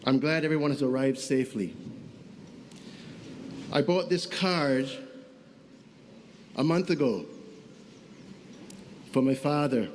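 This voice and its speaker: Man, middle-aged, deliberate funeral speech, long pauses, unhurried, faint background noise. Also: mid-range voice. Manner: deliberate, pausing often. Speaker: son giving funeral tribute. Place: funeral service.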